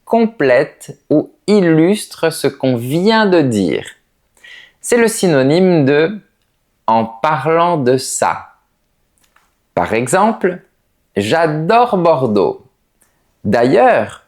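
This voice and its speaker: A male French teacher speaks at a deliberately slow pace, shaping each word with care. His voice rises and falls in a lively, animated arc, lifting to put emphasis on the key words. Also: on the high side. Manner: carefully enunciated, explanatory, with lively rising emphasis on key words. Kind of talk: slow, clearly articulated teaching.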